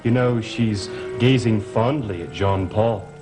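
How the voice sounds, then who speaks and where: An actor playing a sardonic, teasing young gentleman in a gothic soap opera, with arch delivery. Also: on the low side, slow pace, music in the background. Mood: teasing, mocking. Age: young adult.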